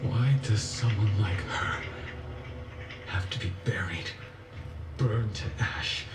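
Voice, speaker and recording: An actor playing a bitter, haunted immortal swordsman in a video game, speaking slowly and gravely. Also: lowish voice, very noisy. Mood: grieving, bitter. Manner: slow, grave, deliberate delivery. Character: brooding, tormented undying swordsman, bitter and haunted. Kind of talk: dramatic video game monologue. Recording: echoey, distant, under loud music.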